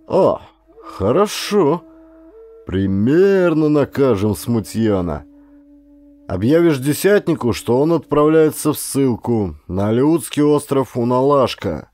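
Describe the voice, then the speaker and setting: A man's voice: mid-range voice, sing-song, slow, mellow. Guy doing grumpy older colonial governor, bossy and shrewd, old timey official talk, audiobook style, voice moves around a lot. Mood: decisive. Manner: commanding, old-fashioned official speech. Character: stern aging male colonial governor, irritable but shrewd.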